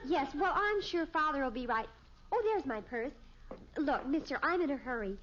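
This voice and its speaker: An actress playing a breezy, hurried teenage daughter in an old radio comedy. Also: female voice, high voice, animated, a bit bright. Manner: quick, breezy, offhand. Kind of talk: 1950s radio comedy acting. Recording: dull old radio recording, hiss.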